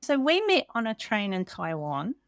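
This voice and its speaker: A woman's voice: mid-range voice, sing-song, moderate pace, no room sound, clean studio sound. A middle-aged woman with a New Zealand accent, hosting a podcast interview in a friendly, chatty, informal way. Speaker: podcast host.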